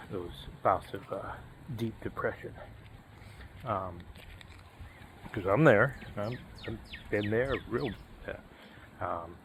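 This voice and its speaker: A man in his fifties speaks in a low, unhurried voice, chatting to camera with frequent pauses, fillers and restarts. Faint background noise sits under the recording. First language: English. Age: 50s. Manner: halting, many fillers and restarts. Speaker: vlogger. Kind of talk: casual personal talk to camera.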